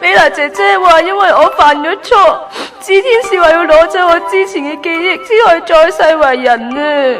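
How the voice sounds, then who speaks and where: A woman's voice: extremely high pitch, normal speed, hardly any pauses. A woman playing an earnest, sentimental young trainee angel in a Hong Kong Cantonese radio drama. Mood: tearful. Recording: background music under the voice.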